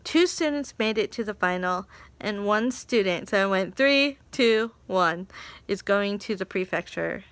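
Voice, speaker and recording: A woman's voice: medium pitch, lively intonation, measured pace, fairly bright tone, no room sound. A young woman telling a story from her day in a casual vlog. She laughs often and acts out what people said. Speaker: English teaching assistant.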